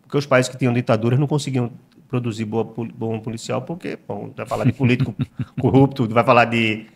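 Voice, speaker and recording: A man's voice: mid-range voice, fairly even intonation, fast, gravelly. Hesitant Brazilian man from Pernambuco. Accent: Pernambuco Brazilian Portuguese. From Recife, Brazil. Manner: reflective, frequent hesitations and repeated words. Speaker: guest writer. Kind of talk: conversational interview.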